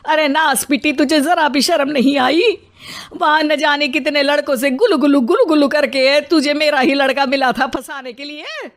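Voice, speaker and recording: A woman's voice: mid-range voice, animated, a little slow, fairly bright tone. A woman voicing a bossy, suspicious middle-aged mother-in-law in a cartoon, scolding and complaining theatrically. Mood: outraged.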